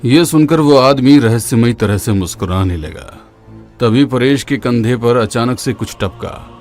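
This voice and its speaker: A man tells a horror story in a dramatic way. His pitch is medium for a man. Faint music plays behind him. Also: a little slow, darkish tone. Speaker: narrator. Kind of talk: scripted horror narration.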